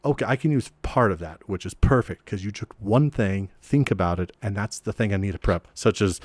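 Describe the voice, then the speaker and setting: A man co-hosting a casual podcast, animated and self-deprecating, who restarts his sentences a lot. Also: on the low side, fairly quick, no echo. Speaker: podcast co-host and game master.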